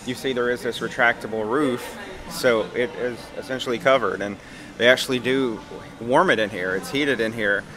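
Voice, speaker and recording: A man narrating a walking tour of a cruise ship, explaining as he goes with frequent fillers. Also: mid-range voice. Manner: friendly explanatory, many "uh" fillers. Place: outdoor and indoor decks of a cruise ship.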